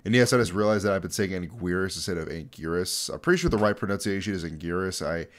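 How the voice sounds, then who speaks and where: A man's voice: deep voice, expressive, fast talker. A young man giving casual video commentary in an informal, jokey way. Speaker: YouTube host explaining a horror series. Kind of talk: casual commentary with dramatic read-out passages.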